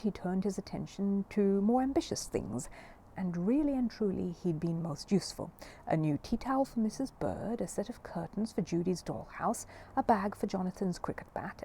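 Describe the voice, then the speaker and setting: A woman reading a children's story aloud as an expressive narrator. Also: medium pitch, a little hiss or hum. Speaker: audiobook reader.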